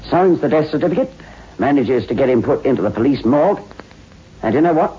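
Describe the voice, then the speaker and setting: Wry, lively London police detective. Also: male voice, mid-range voice, fairly quick, breathy edge. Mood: brisk explaining. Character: brisk, wryly amused male London police detective. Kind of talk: 1960s radio drama acting. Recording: muffled, hissy 1960s radio recording.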